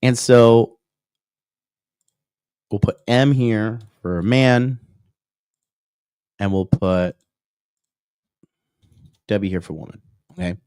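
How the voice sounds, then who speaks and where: A man's voice: medium pitch, slow pace, long pauses, a little mellow, smooth, no echo, clean studio sound. A male dating coach in his thirties, giving a whiteboard lecture on a livestream and peppering his points with "okay?" and "right?". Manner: reads out and answers viewer comments.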